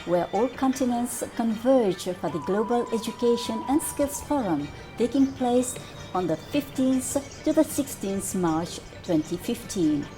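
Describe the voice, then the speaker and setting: A woman reporter narrating a news report and asking interview questions in a measured, formal way. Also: fairly high pitch, expressive, music in the background, poor audio.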